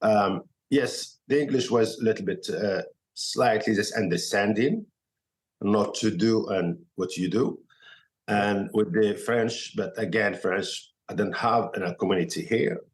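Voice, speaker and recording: A man from Morocco with a Moroccan accent and Arabic as his first language, telling his story as a podcast guest in halting, filler-filled English. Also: on the low side. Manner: halting non-native English, many fillers and restarts. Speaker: guest chef. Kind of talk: casual podcast interview.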